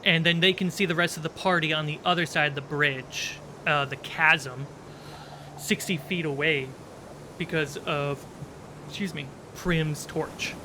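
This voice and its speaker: A game master with a mid-pitched voice narrates a tabletop roleplaying game. The storytelling is descriptive and suspenseful. Clear noise runs behind the voice. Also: steady tone, a little slow.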